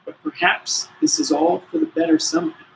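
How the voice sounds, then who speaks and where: A man's voice: very high voice, sing-song, brisk, creaky, a little hiss or hum, pitched above the performer's own voice, heavily acted, rough recording. A man voicing a fierce, zealous old grandfather warrior who declaims defiantly in a storybook reading. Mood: proud, stern.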